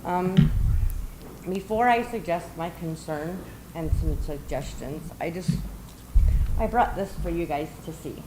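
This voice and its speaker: A middle-aged woman with an American accent giving an earnest, halting, plain-spoken personal comment at a public meeting. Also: on the low side. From United States (Utah). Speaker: member of the public, bereaved mother. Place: council meeting room. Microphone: meeting microphone at a distance. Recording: echoey hall, distant mic, loud background noise.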